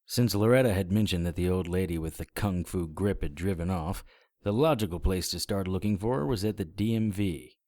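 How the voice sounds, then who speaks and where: An actor playing a wry, calm, hard-boiled private investigator in a noir audio drama, speaking in a measured, deadpan way. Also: male voice, low voice, close to an everyday voice. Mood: measured narration. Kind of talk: first-person noir audio drama narration. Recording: music behind the voice.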